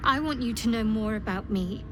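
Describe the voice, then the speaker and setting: A woman's voice: a little high, moderate pace, lightly acted. An actress playing a guarded, devout young woman cleric in a fantasy video game, speaking earnestly and solemnly. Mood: earnest, confiding. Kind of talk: video game character dialogue. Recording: clear ambient noise behind the voice.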